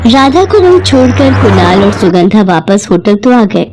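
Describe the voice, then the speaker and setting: A woman's voice: medium pitch, very animated, normal speed, background noise. A woman narrating a scripted moral story as a storyteller.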